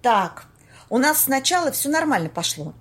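A woman's voice: sits high, sing-song, normal speed, fairly bright tone, slightly rough, full character voice. An actress playing a brash, skeptical woman in an audio play, blunt, bossy and slangy. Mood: bossy, impatient. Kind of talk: amateur audio play acting.

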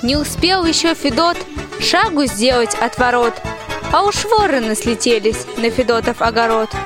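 A female amateur actor playing a sharp-tongued, loyal young peasant wife, declaiming spiritedly in a rhymed radio folk tale. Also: on the high side, expressive, normal speed, over music, natural voice. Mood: storytelling.

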